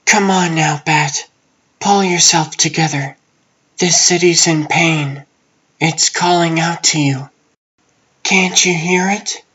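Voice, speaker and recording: Casting: male actor as earnest, worried female antiheroine, raised pitch, husky, soft delivery. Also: fairly even intonation, takes it slowly, pauses often, muffled recording, exaggerated voice. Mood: pleading. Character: guilt-ridden female antiheroine, anxious yet determined. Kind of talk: comic dub acting.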